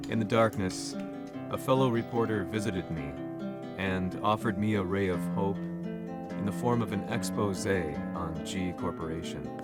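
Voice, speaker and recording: An actor playing a weary, disillusioned war reporter, narrating a video game story in a sombre, measured way over guitar music. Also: male voice, low voice. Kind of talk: scripted first-person story narration. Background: plucked guitar music.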